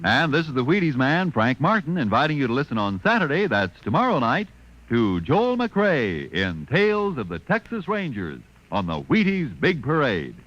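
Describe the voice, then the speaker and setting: Male American commercial announcer, exclamatory and swooping, fairly high, muffled 1950s radio sound. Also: wildly swooping pitch, moderate pace, a bit bright. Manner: punchy, sloganeering, exclamatory. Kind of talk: upbeat 1950s radio advertising pitch. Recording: muffled old radio broadcast recording.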